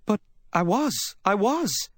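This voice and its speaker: A man's voice: mid-range voice, normal speed, no room sound, pitched above the performer's own voice, natural voice. A man acts out a distraught woman in a hardboiled audiobook story. The voice is lifted well above his own, choked and whimpering. She pleads and wails with jealous indignation, recorded close and dry. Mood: anxious. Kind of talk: dramatic audiobook character reading.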